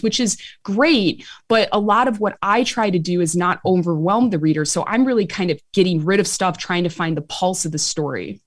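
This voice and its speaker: A woman with a Chicago accent, an author and medical historian answering interview questions in an animated way, laughing easily. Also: medium pitch, no room sound, studio quality. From Chicago, USA.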